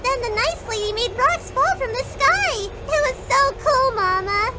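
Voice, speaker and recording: A woman's voice: very high-pitched, animated, measured pace. A woman voicing a thrilled, gushing little child in a comic dub. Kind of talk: fan comic dub acting. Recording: loud music behind the voice.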